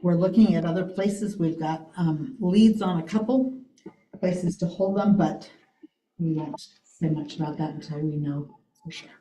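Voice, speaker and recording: A woman giving a loud, chatty update on senior events at a city committee meeting. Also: on the low side, a little slow, roomy sound, rough recording. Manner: loud, chatty, laughs often. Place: city hall meeting room.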